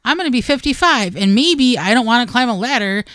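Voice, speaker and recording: Clear-voiced American woman chatting on radio. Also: fairly high pitch, expressive, brisk, fairly bright tone, dry recording, studio quality. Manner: conversational, plain-spoken, talks in hypothetical asides. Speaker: radio show co-host. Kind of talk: casual gardening advice chat.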